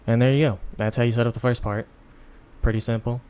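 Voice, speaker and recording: A man giving a casual, unscripted software tutorial in an informal, halting, step-by-step way, with background noise. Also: mid-range voice, flat delivery, smooth. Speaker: tutorial presenter.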